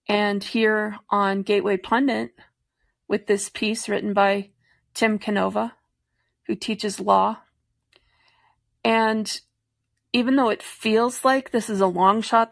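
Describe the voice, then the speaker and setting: A woman's voice: medium pitch, creaky, dry recording. A woman with an American accent hosting a show, introducing it in an informal spoken style. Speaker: podcast host.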